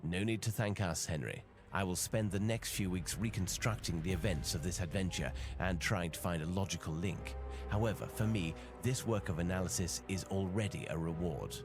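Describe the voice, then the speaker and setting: An actor playing a confident, analytical gentleman detective in a video game, speaking in a measured, formal and authoritative way. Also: low-pitched. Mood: solemn. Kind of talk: video game cutscene acting. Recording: music behind the voice. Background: game music.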